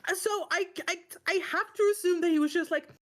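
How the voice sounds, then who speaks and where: Female, high voice, stressed-out and exasperated, telling a rambling, emphatic story in casual chat. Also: fairly quick, fairly bright tone. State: stressed and upset. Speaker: book club stream co-host.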